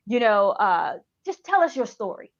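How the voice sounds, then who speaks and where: A woman's voice: mid-range voice, very animated, normal speed. A woman interviewing casually on a podcast, affirming and echoing what her guest says, on lo-fi audio. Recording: dull, lo-fi voice audio.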